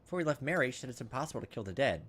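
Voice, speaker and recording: A man's voice: medium pitch, animated, racing, a bit bright, husky. A man doing gameplay commentary, casually thinking aloud and reading visual novel text as he goes. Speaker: video game playthrough host.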